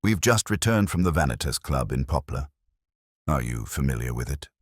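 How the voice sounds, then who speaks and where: A man voicing a grim, shrewd detective in an audiobook, speaking in a grave, incisive, deliberate manner. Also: rumbling low, sing-song, husky, no room sound, clean studio sound, heavily acted. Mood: cold, pointed. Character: grim, shrewd male detective, coolly commanding. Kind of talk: audiobook character dialogue.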